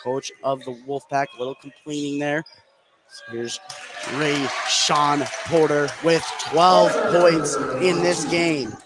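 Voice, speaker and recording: High-pitched man calling live basketball. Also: fairly even intonation, slow. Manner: clipped present-tense calls following the action. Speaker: play-by-play radio announcer. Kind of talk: live basketball play-by-play commentary. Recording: clear gym noise behind the commentary. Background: gymnasium game ambience.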